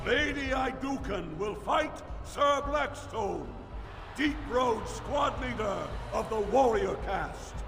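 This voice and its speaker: A man's voice: very high voice, animated, slow, over music, exaggerated voice. A man voicing a formal dwarf arena master in a video game, declaiming ceremonially. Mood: ceremonial, proud. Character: formal male dwarf arena master announcing honour combats. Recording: game audio, long arena reverb, ambient noise.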